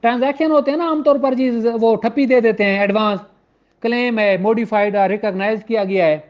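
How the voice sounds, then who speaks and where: A man with a Pakistani accent giving an informal law lecture in a conversational, questioning way. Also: high-pitched. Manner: conversational, poses rhetorical questions, acts out courtroom exchanges; switches between Urdu and slowly read English legal text. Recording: dull, lo-fi muffled audio.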